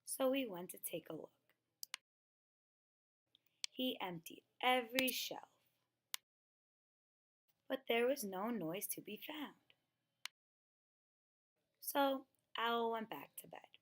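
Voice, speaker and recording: A woman reading a children's picture book aloud in an expressive, deliberate storytelling manner. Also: on the high side. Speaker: storybook reader. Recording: noticeable background noise, clicks.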